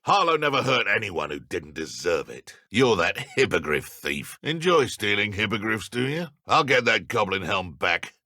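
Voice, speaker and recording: An actor voicing a menacing, taunting dark wizard poacher in a video game, with a British accent and a gravelly, theatrical, sneering delivery. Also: male voice, on the low side, very animated, normal speed, hardly any pauses, no room sound, clean studio sound, exaggerated voice. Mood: sneering menace. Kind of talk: video game combat voice lines.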